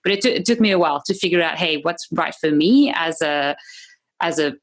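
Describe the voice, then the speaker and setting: A woman with a French accent talks easily about her work in a podcast interview. Her voice sits low for a woman. She rambles a little, fills pauses, restarts sentences and laughs often. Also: lively intonation. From France. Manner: chatty, laughs often, many fillers and restarts. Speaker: guest, membership business owner.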